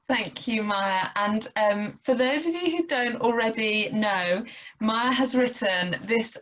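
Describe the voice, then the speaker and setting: A woman's voice: on the high side, slow pace, a little hiss or hum. Woman hosting an online chat, gushing n upbeat, kinda dull muffled call audio. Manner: warm, effusive, enthusiastic praise. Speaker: host. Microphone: video call.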